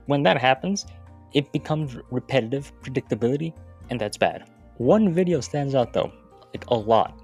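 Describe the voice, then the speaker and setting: A man's voice: medium pitch, a little mellow. Casual, wry man narrating over music. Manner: informal, profane, wry asides. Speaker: YouTube video essayist. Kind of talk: casual scripted video-essay narration. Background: background music.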